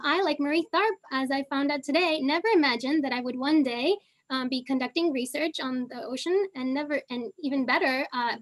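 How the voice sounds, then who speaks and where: A young woman originally from Argentina, speaking with a Southern California accent. Her voice sits high as she speaks, and she talks casually, with plenty of ums and uhs. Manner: casual, many fillers. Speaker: panelist, geochemist. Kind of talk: online panel talk.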